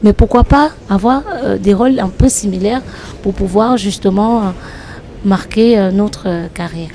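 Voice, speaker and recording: A woman actress answering interview questions in a reflective, hesitant way with many pauses. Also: medium pitch, warm tone. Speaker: actress and comedian.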